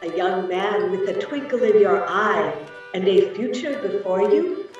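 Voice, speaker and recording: A woman's voice: mid-range voice, lively intonation, measured pace, a little mellow, close to an everyday voice. A woman playing a solemn, unearthly spirit in a dramatic reading, stately and commanding. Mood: knowing. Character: solemn, unearthly, childlike yet old female spirit. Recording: very echoey, distant, muffled, music behind.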